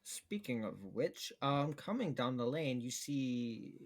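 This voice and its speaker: A man running a tabletop game as game master over voice chat, chatty and loose, laughing often and slipping into quick character voices. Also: mid-range voice, lively intonation. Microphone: home microphone on a voice call.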